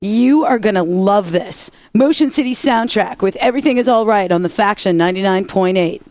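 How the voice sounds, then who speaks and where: A woman's voice: mid-range voice, brisk, darkish tone, no room sound, close to an everyday voice. A woman playing a sassy, teasing rock radio DJ who reads song intros in dry, scripted banter.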